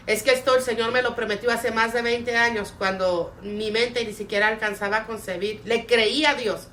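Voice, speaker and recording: Middle aged lady preacher, native spanish, earnest testimony w lots of repeating, really bright voice, bit far from the mic w some faint noise. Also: on the high side. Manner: earnest, emphatic repetition. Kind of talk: sermon with personal testimony.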